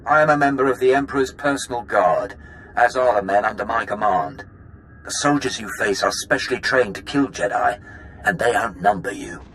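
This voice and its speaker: Man playing low-voiced, menacing Imperial commander. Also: moderate pace, noisy background, close to an everyday voice. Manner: commanding, threatening. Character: menacing Imperial elite guard commander, impatient and arrogant. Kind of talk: dramatic video game acting.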